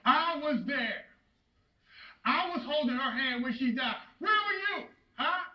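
A man's voice: high voice, very animated, moderate pace. A man playing a grieving, furious father disowning his son in a theatrical stage monologue. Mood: anguished fury. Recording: muffled, roomy, distant studio stage microphone.

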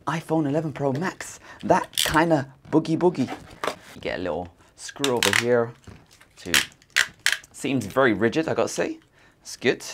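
A man, casually reviewing a gadget in a jokey, excitable way from inside a car. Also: mid-range voice. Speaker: tech reviewer. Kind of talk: casual product review vlog. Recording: noisy car cabin.

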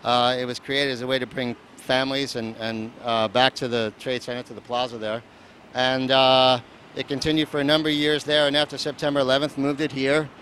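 A man's voice: medium pitch, flat delivery, brisk, a bit bright. Rambling man outdoors in city traffic. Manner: rambling, joking, lots of fillers. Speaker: festival producer. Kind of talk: casual street interview. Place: outdoors at a seaport. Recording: faint street noise and traffic.

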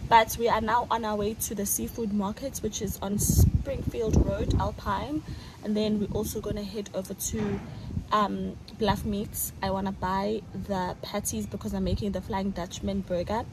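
A woman's voice: medium pitch, flat delivery, moderate pace, very noisy. A young woman, chatting casually to a phone camera as a vlogger while running errands, laughing often. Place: car and shops, out and about.

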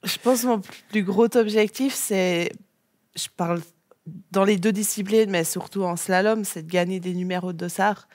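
Une jeune femme invitée sur un plateau de télévision, qui répond simplement et de façon détendue aux questions, avec quelques hésitations. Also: female voice, medium pitch, normal speed, no echo, studio quality. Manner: relaxed, short plain answers with fillers. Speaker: guest athlete. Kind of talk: casual TV interview answers. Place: TV studio set.

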